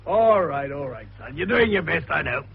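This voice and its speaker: An actor playing a shrewd, avuncular older detective in a radio drama, husky and gravelly, genially patronising as he questions and interrupts. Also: fairly high pitch, expressive, normal speed, full character voice. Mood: genial, probing. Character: shrewd, avuncular old male amateur detective. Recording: tinny, band-limited radio broadcast.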